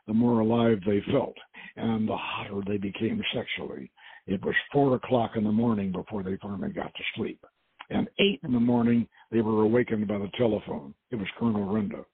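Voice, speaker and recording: A man with a gravelly, husky voice hosting and reading his own novel aloud as dramatic narration. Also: on the low side, no echo. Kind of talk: dramatic audiobook-style narration. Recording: dull, lo-fi audio.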